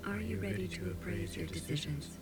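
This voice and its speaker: A woman playing a solemn, cryptic guide in a video game, speaking in a measured, formal, portentous way. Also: low voice, sing-song. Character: solemn, cryptic female secretary guide weighing fateful choices. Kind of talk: scripted game dialogue. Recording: game audio under loud music.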